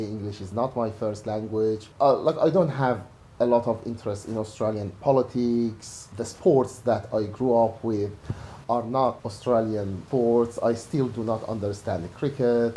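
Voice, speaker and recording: A middle-aged man from Iraq, giving calm, earnest personal testimony in an interview. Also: medium pitch, a little hiss or hum, poor audio.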